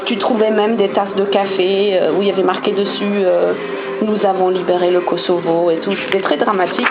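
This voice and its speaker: A woman's voice: mid-range voice, fairly even intonation, fairly quick. Young woman, hesitant casual storyteller, lo-fi recording with background music. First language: German. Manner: hesitant, many fillers and restarts. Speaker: vlogger.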